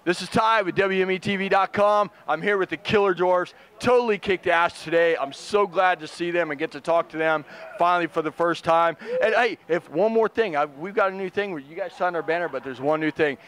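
A middle-aged man hosting an excited, casually swearing backstage interview at an outdoor festival, on a handheld mic. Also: sits high, a little fast, few pauses. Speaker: interview host.

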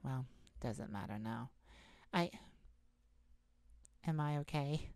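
Tired, anxious female pop star for intimate audio roleplay, acted by a woman: halting delivery, low pitch, very dry close sound. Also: darkish tone, dry recording, full character voice. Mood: weary, irritated. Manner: halting, hesitant, confiding. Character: exhausted, anxious pop star confiding in her bodyguard lover. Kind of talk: intimate ASMR roleplay acting.

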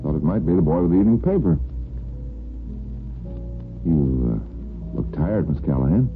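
An actor playing a friendly, persistent bachelor neighbor in a 1950s radio soap, with an easygoing, teasing manner. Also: male voice, extremely low pitch, big pitch swings, moderate pace, creaky, heavily acted. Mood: teasing, courting. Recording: muffled old radio broadcast, faint music.

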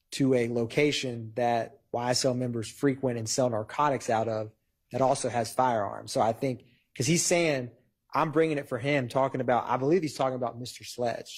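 Husky-voiced male prosecutor in court. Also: mid-range voice. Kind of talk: courtroom argument to a judge. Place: large wood-paneled courtroom.

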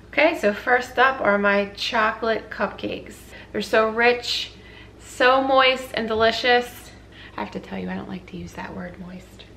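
A woman's voice: fairly high pitch, measured pace, pushed and bright, a little hiss or hum. A woman, hosting a casual recipe vlog in a chatty, informal way. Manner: chatty, informal, addressing viewers as guys. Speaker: host of a healthy cooking channel. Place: home kitchen, indoors. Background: clear background music.

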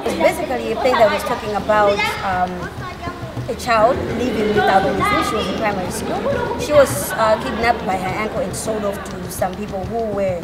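A woman's voice: medium pitch, expressive, normal speed. A woman in her twenties, a workshop facilitator, explaining things in a documentary interview and repeating phrases as she goes. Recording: loud crowd noise behind the voice.

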